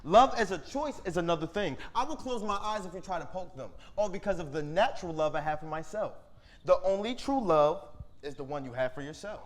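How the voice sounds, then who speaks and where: A man's voice: high-pitched, lively intonation, fairly quick. A man playing a stubborn, cynical, self-loving young worker in a staged play reading. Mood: stubborn debate.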